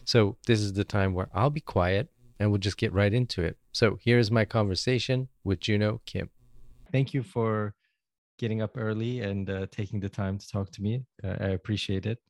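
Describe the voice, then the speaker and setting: A man hosting a travel podcast, speaking in a relaxed, friendly way with frequent fillers. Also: fairly low pitch, normal speed, no echo, studio quality. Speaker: podcast host. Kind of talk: casual podcast interview.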